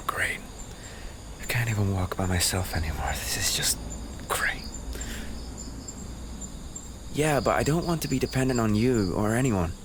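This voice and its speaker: A man's voice: on the low side, background noise, lightly acted. A man playing an injured young race-car driver boyfriend, tender and playfully needy, in an intimate roleplay audio. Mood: frustrated, low.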